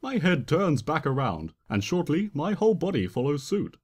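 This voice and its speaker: A man's voice: on the high side, big pitch swings, moderate pace, darkish tone. A man reading a story dramatically in the first person, in a playful, theatrical trickster manner. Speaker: fan fiction reader and narrator. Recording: reverberant room with long echo.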